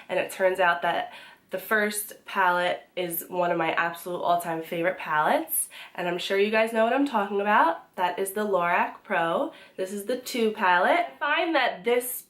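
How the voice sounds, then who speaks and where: A young woman talks through her favorite beauty products in a relaxed, chatty way, her voice a little hoarse from being sick. She pauses often on "um" and restarts her sentences as she goes. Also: mid-range voice. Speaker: beauty vlogger. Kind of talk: casual product review to camera.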